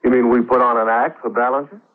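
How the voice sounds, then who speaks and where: An actor playing a wry, bitter husband in a sham marriage, in a classic radio drama, with a sardonic delivery. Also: male voice, medium pitch, fairly even intonation, fast, lightly acted. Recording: noisy, muffled old radio recording.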